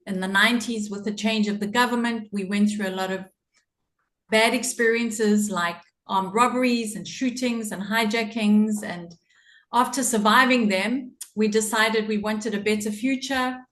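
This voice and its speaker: A woman's voice: mid-range voice, a bit bright, echoey room. A middle-aged woman with a South African accent, a podcast guest telling her personal story in an earnest way, laughing often. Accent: South African English.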